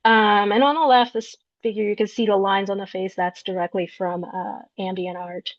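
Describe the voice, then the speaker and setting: A woman from Peru, an artist, walking through slides of her work in an explanatory, unhurried way, searching for words. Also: medium pitch, fairly even intonation, dry recording. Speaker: visual artist. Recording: dull lo-fi recording, dry.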